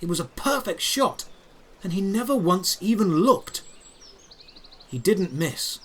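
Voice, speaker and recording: A man with a slightly high voice tells a first-person fantasy adventure as a scripted audio drama. His delivery is deliberate and dramatic, lingering on description. Faint outdoor ambience and birdsong sit behind him. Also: sing-song, fairly bright tone, a little hiss or hum.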